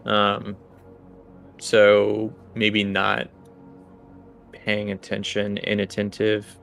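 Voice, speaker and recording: A man's voice: fairly low pitch, monotone, extremely slow, gravelly, a bit bright, faint music behind. A man running a tabletop game, improvising narration and rulings in a hesitant, think-aloud way. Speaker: game master.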